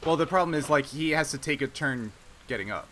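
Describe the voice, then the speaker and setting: Guy game mastering, bright voice, casual rulings and narration, some background noise. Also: on the high side, expressive, brisk.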